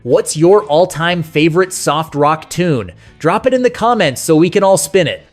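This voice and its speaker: Man, slightly high voice, scripted countdown narration, folksy and sentimental storyteller, music behind. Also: lively intonation, normal speed.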